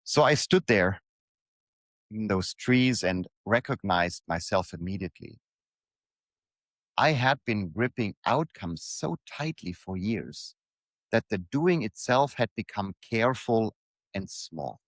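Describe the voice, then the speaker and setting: A man reading his own reflective motivational piece aloud in deliberate short phrases, leaning on repetition for emphasis. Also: lowish voice, lively intonation, dry recording.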